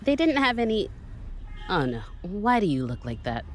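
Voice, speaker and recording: A woman's voice: mid-range voice, sing-song, normal speed, slight background noise, lightly acted. An actress playing a weary, sceptical camerawoman sidekick in a horror audio drama, dry and grumbling. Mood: apprehensive.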